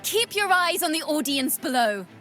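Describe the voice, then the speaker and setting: High-voiced theatrical young bard. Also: female voice, sing-song, sharp tone, heavily acted. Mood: playful, confident. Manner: theatrical, measured, poetic delivery. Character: theatrical young female bard, defiant, playful storyteller. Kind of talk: dramatic storytelling in game voice acting. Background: music.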